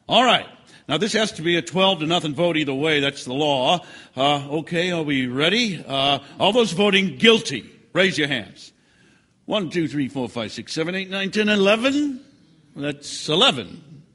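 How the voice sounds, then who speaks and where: A fussy male jury foreman in a radio play. His voice is high and sharp-edged. He organizes politely and hesitantly, never quite commanding. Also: animated, moderate pace. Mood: procedural, unsure. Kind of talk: stage radio drama acting.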